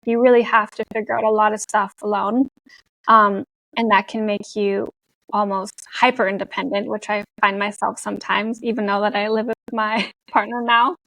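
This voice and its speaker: A woman's voice: medium pitch, little pitch movement, moderate pace, vocal fry, fairly bright tone, no echo, rough recording. A young Canadian woman speaking casually as an interview guest, laughing often and using many fillers. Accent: Canadian English with an international European tinge. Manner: chatty, laughs often, many likes and you knows. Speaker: guest, content creator.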